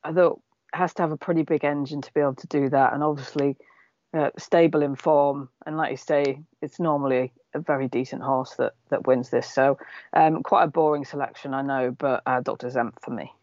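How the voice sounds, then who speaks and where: A woman's voice: very deep voice, fairly even intonation, brisk, darkish tone, dry recording, highs cut off. A woman giving racing tips on a panel, hesitant, with many ums and little hedges. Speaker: panel tipster. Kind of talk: casual panel chat.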